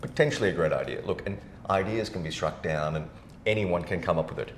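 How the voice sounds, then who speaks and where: A man's voice: low-pitched, fast, slight background noise. A middle-aged man hosting a podcast in casual conversation, recorded in a roomy space. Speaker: podcast host.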